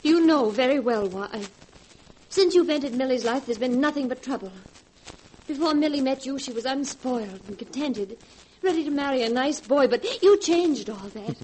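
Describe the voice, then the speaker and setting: A woman's voice: high-pitched, animated, normal speed, a little mellow. An actress playing an earnest, conflicted young woman in a fervent 1940s radio drama style. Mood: stern, indignant. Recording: noisy vintage 1940s radio recording.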